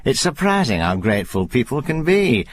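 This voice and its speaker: An actor playing a smooth-talking late-night radio host with a soft, ingratiating, soothing manner. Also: male voice, medium pitch, very animated, normal speed, close to an everyday voice. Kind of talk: audio drama acting.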